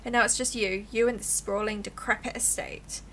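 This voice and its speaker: A woman livestreamer giving casual, expressive, giggly game commentary and reading the game's text aloud. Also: mid-range voice, fairly quick, slight background noise. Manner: giggly, expressive, reacts out loud.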